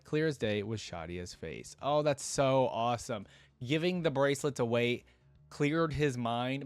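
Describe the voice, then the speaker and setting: A man's voice: medium pitch, dry recording. Man casually reacting, music behind his close voice. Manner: casual, enthusiastic, slangy. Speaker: reaction video host. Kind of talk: casual reaction commentary and story read-aloud.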